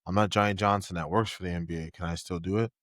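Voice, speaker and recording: A man with a somewhat low voice co-hosts a casual podcast. He jokes around and often stumbles, repeating words as he talks. The sound is close and dry. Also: steady tone, fast talker, fairly bright tone, no echo. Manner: joking, stammers and repeats words. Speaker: podcast co-host. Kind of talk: casual chat.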